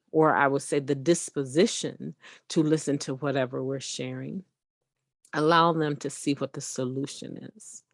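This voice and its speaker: Low, gravelly woman with a booming voice. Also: no room sound, studio quality. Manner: earnest, conversational, frequent tag questions. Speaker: recovery meditation speaker. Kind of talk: encouraging spoken daily meditation.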